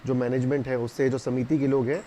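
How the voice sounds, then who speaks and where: A man's voice: mid-range voice, little pitch movement, fairly quick, dark tone. A man asking questions as a news reporter in a field interview. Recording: clearly noisy background.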